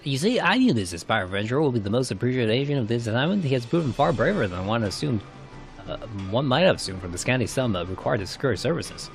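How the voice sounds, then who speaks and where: A man reading game cutscene dialogue aloud in a dramatised, somewhat halting way. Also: mid-range voice, big pitch swings, vocal fry, faint music behind.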